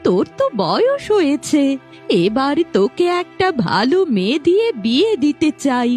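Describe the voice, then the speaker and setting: A woman's voice: high-pitched, very animated, normal speed, darkish tone. A woman playing a worried, doting mother of a grown son in a fairy-tale cartoon, fretful and affectionately chiding. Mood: worried, pleading. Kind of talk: animated fairy-tale dialogue. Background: music.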